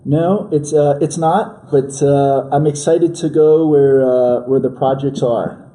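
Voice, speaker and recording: A man in his forties from New York, a podcast guest who talks in a halting, casual way full of fillers and restarts. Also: on the high side, fairly even intonation, dark tone. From New York, USA. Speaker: guest entrepreneur.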